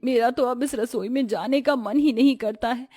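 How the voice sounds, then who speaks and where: A distressed young Indian daughter-in-law from a cartoon story, acted by a woman. Her high voice is plaintive and lamenting, tender-hearted, and recorded very dry. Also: moderate pace, warm tone, no room sound, lightly acted. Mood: despairing.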